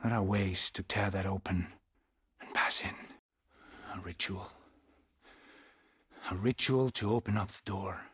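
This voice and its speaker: A man playing a weary, haunted Norse settlement leader in a video game, speaking gravely and deliberately in a husky, breathy voice. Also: low voice, fairly even intonation, a bit bright, no echo, studio quality, full character voice. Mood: solemn, mystical. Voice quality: husky, breathy, often near a whisper. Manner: slow, deliberate, grave, with pauses. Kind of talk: dramatic video game acting.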